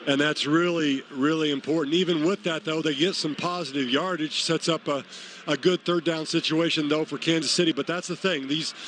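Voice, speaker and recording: A man's voice: fairly high pitch, steady tone, fairly quick, fairly bright tone. An American man giving conversational color analysis during a live football radio broadcast. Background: faint stadium noise.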